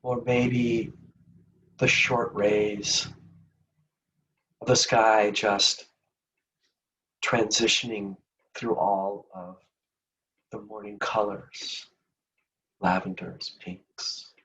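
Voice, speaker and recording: A man leading a guided meditation in a gentle, soothing, unhurried manner with long pauses between phrases. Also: lowish voice, level tone, far from the mic, low-quality recording.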